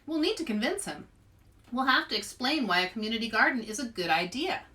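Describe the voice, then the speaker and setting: Woman reading as a sensible, serious, bright-voiced girl. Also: a little high, big pitch swings, fairly quick, recorded from a distance. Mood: practical. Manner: practical, reasoning delivery. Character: sensible, serious young girl planner in a storybook.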